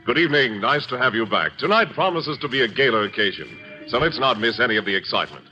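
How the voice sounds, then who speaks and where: Casting: polished, genial first-night host, mid-pitched, muffled vintage broadcast sound. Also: male voice, expressive, fast talker. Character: genial gentleman theatergoer hosting a premiere. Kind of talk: 1950s radio show hosting, scripted narration. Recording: muffled vintage radio recording, loud music.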